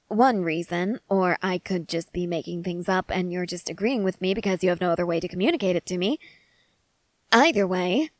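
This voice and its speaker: A woman's voice: medium pitch, no room sound, natural voice. A woman playing an eager, intensely curious researcher-soldier who rambles in precise, analytical sentences in a scripted ASMR roleplay.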